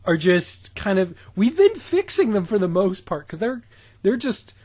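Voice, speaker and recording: A man co-hosting a comedy podcast, reading fan fiction dialogue deadpan and joking crudely. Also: high voice, unhurried, breathy edge. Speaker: podcast co-host.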